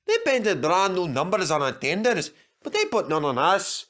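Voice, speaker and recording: A man's voice: high-pitched, wildly swooping pitch, normal speed, fairly bright tone, voice pushed up, heavily acted. A man voicing mischievous twin steam engines in a children's story, with a Scottish accent and a sly, cheerful manner.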